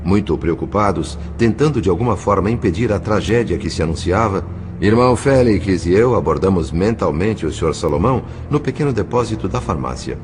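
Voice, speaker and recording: A man's voice: low voice, animated, brisk, background noise. A man playing a solemn, compassionate narrator in an audio drama, reading literary prose expressively. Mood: grave concern. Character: solemn, compassionate male spirit narrator of a story.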